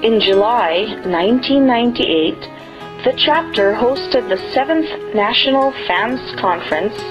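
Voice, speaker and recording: A woman reading a documentary narration in a measured, formal way. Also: a little high, expressive. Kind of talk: scripted narration. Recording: dull lo-fi voice-over with music.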